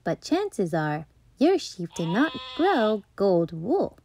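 A woman reading a children's picture book aloud as a storytime host, playful and deliberate, with dramatic emphasis. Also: medium pitch, very animated, a little mellow, a little hiss or hum. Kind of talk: expressive children's read-aloud narration.

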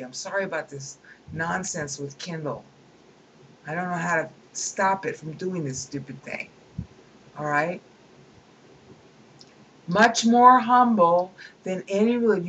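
A woman's voice: on the low side, slight background noise, poor audio. A woman reading a book aloud at her computer and breaking off into emphatic, scornful commentary. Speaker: reader and commentator.

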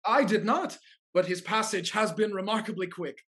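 A man in his thirties playing a discreet, formal family confidant in a period stage comedy, measured and earnest. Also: high voice, a bit bright, breathy edge. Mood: newsy. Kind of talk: period comedy stage acting over video call. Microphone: video call.

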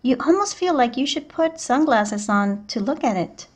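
A woman teacher reading a picture book aloud to children in a clear, expressive storytelling manner. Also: high-pitched, moderate pace. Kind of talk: children's read-aloud narration.

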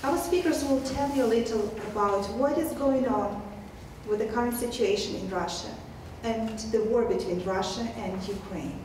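A woman's voice: a little high, moderate pace, a little mellow. A woman in her thirties reading a prepared welcome as host of a college event. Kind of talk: prepared welcome read aloud. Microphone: handheld microphone. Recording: echoey room, distant mic, clear noise.

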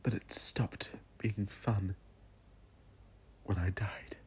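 A man voicing a grieving, resigned young woman in an audio drama, tender, halting and tearful. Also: lowish voice, fairly even intonation, darkish tone, breathy edge, whispered, full character voice. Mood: tearful, pleading. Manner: halting, emotional, tearful delivery.

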